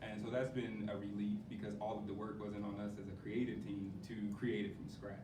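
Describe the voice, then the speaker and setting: A man's voice: level tone, a little fast. A man answering casually and reflectively in an interview as a member of a production crew. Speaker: assistant director and production manager. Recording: echoey room, distant mic, rumbling noise.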